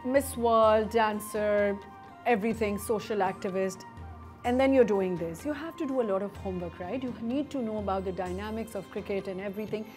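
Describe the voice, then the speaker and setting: A woman's voice: mid-range voice, brisk, darkish tone, soft background music. A woman hosting a TV morning show, effusive and full of compliments, often echoing her guest's words. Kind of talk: casual TV interview chat.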